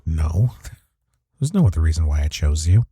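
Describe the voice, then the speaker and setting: A man playing a smitten office rival in an intimate romantic audio roleplay, with a husky, mellow voice and a halting, teasing manner. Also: very deep voice, big pitch swings, dry recording, studio quality, full character voice. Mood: earnest, defensive. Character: flustered, smitten male office rival confessing attraction.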